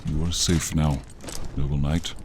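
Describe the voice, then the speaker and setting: A man voicing a weary ancient dragon, noble and gentle, with solemn, formal speech in a dramatic fantasy ASMR roleplay. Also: level tone, background noise. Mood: urgent, solemn. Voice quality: deep voice. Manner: slow, solemn, formal, archaic phrasing. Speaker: voice actor and narrator.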